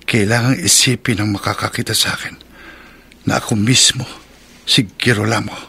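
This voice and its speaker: A man's voice: lowish voice, steady tone, moderate pace, gravelly, bright, forceful tone, breathy edge, exaggerated voice. A man playing a determined, secretive lover who plots murder in a radio drama, earnest and theatrical. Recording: faint background ambience noise.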